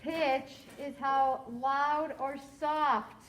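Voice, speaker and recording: A woman teaching a science lesson to a class, quizzing her students in a slow, deliberate way. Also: sits high, little pitch movement, fairly bright tone.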